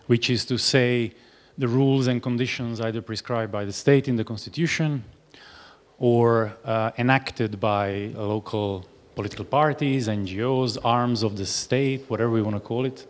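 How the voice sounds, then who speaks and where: A man's voice: mid-range voice, steady tone, normal speed. A man in his forties chairing a panel, speaking hesitantly with many fillers and restarts at a table mic. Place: large hall. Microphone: table mic on stand. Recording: reverberant hall sound.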